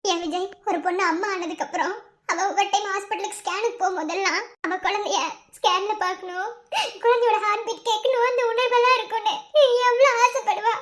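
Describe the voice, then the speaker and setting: Actress as distraught young mother for a family serial: extremely high, childlike pitch, crying, plaintive, doubting. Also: steady tone, fast, a bit bright. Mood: tearful, anguished. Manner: tearful, plaintive, questioning. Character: anguished young mother, full of doubt about her child. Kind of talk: melodramatic family serial acting.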